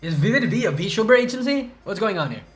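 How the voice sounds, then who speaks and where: Young male streamer, high-pitched, rambling and profane, groggy and stuttery, faint music behind. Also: very animated, quick pace. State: groggy from a hangover. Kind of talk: casual livestream chat reacting to news.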